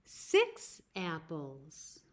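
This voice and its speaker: American lady doing kids story time, lowish voice, really slow and deliberate. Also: huge pitch swings. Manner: slow, deliberate phrasing with questions to young listeners. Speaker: library story time host.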